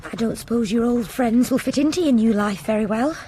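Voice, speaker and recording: An actress playing a gentle young servant woman, hesitant, restrained and quietly hurt, in a radio period drama. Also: fairly high pitch, brisk, a little hiss or hum, natural voice. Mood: hesitant, wistful.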